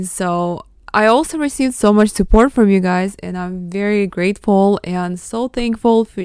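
Smooth-voiced woman hosting a podcast. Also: mid-range voice, dry recording, studio quality. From Ukraine. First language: Russian. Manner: earnest, heartfelt, thankful. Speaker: podcast host. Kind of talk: solo podcast intro.

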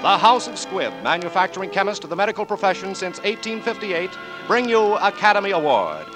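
High-pitched, emphatic 1940s male radio announcer. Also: sing-song, brisk, over loud music, poor audio. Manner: formal, emphatic proclaiming. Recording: old 1940s radio broadcast.

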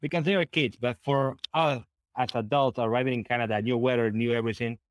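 Honduran man speaking halting, accented English. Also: mid-range voice, steady tone, no room sound. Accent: Honduran Spanish. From northern coast of Honduras. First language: Spanish. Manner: halting, repeats words while searching for English phrasing. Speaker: podcast guest. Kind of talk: casual interview conversation.

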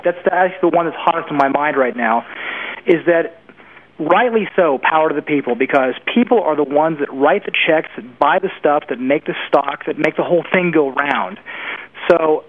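A man's voice: a little high, normal speed. A man speaking as an expert guest in an interview, giving opinionated conversational commentary and repeating phrases for emphasis. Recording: narrow phone-like band, lo-fi internet radio.

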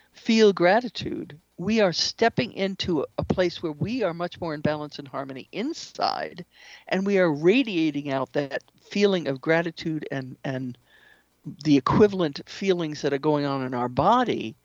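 Woman explaining spiritual stuff on a radio show, measured n earnest, muffled dry recording. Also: lowish voice, expressive, darkish tone, no echo. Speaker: interview guest, shamanic practitioner and author.

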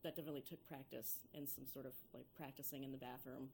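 A woman's voice: extremely low pitch, fairly even intonation, quick pace, a little mellow, a little hiss or hum. A young woman, a violinist and singer, chatting in an interview with many fillers. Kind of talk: casual interview chat.

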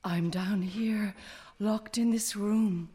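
An actress playing a mysterious captive woman who pleads, flatters and coaxes seductively in an audio drama. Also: mid-range voice, takes it slowly, natural voice. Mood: desperate, pleading.

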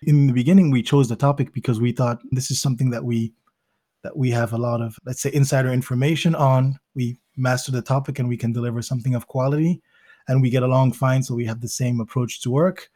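Thoughtful man with a mellow, dry voice. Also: medium pitch, fairly even intonation, fast, dry recording. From Belgium. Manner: thoughtful, restarts phrases while searching for words. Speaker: podcast guest. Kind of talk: conversational interview storytelling.

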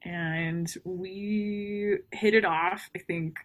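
A woman's voice: fairly low pitch, fairly even intonation, extremely slow. A woman in her twenties from Texas with a light Texan accent, co-hosting a casual chat and giggling often. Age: 20s. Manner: giggly, chatty. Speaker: podcast co-host.

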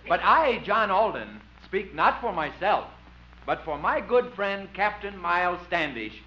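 Flustered earnest pilgrim suitor, high voice swooping everywhere, old muffled radio comedy. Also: male voice, sing-song, measured pace. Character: earnest flustered rival playing pilgrim John Alden. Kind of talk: 1950 radio comedy acting. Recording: muffled old radio recording, faint noise.

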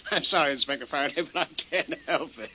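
A man's voice: a little high, a little fast, sharp tone, slightly rough, full character voice. An actor playing a smug, taunting male criminal in 1940s radio style. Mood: smug, amused. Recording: muffled, worn old radio broadcast.

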